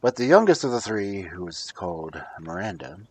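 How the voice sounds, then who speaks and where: A man reading fairy tales aloud as a storyteller, with a husky voice and a dramatic delivery that moves between hushed and emphatic. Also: sits low, big pitch swings, normal speed, slight background noise. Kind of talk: expressive fairy-tale narration, read aloud.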